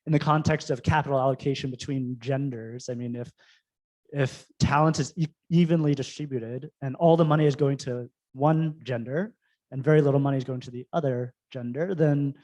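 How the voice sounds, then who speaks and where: Mellow man speaking casually on a panel. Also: mid-range voice, rough recording. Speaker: venture fund investor on a panel. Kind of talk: informal panel talk.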